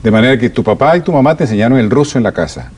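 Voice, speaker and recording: Male TV host, mid-pitched and mellow, guides the talk with questions and recaps. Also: sing-song, rapid-fire, slightly rough. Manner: leading questions and summaries. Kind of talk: TV interview.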